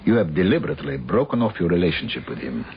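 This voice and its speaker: An actor playing a bitter, insistent film director with formal, pointed phrasing, in a radio drama. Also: male voice, sits low, very animated, fast. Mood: accusing. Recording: dull, hissy radio broadcast recording.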